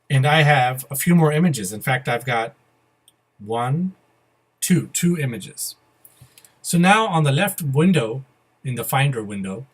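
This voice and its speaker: A man presents a software screencast in a casual, methodical manner. His voice is a little husky and sits at a medium pitch. He spells out each step as he goes. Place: voice-over to a computer screen recording.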